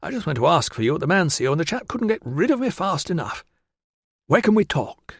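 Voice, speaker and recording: A man's voice: a little high, big pitch swings, breathy edge, dry recording, clean studio sound, voice pushed up. A man doing a cheery, reassuring Roman doctor. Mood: concerned. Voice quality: brisk, reassuring, says 'old chap'. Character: cheery middle-aged male Roman doctor, kindly, fussy.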